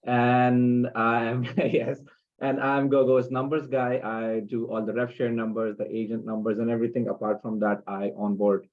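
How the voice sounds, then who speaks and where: A man's voice: medium pitch, flat delivery, normal speed. A man from Pakistan, introducing himself as a virtual assistant and listing his tasks in a matter-of-fact way. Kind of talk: self-introduction. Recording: tinny phone-like line.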